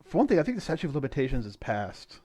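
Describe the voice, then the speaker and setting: A man's voice: medium pitch, wildly swooping pitch, racing, warm tone, breathy edge. A man co-hosting a podcast in casual comedic chat, with a jokey, mock-formal announcing manner and the odd swear. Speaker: podcast co-host.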